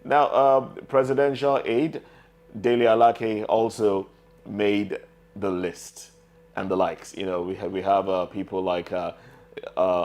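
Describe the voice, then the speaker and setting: A Nigerian man presents a live news discussion show. His voice sits fairly low, and he talks in a conversational way, speaking straight to his audience and guests. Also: a little mellow. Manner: conversational, addresses guests directly.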